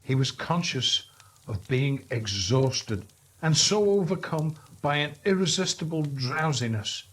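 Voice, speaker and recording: A man reading a classic story as narrator, in a measured, expressive way. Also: fairly high pitch.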